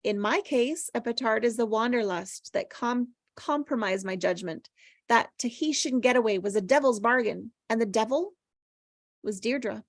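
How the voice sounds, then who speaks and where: A woman's voice: on the high side, moderate pace, dry recording, clean studio sound. A woman playing a plucky, quirky and defensive young woman at a script table read.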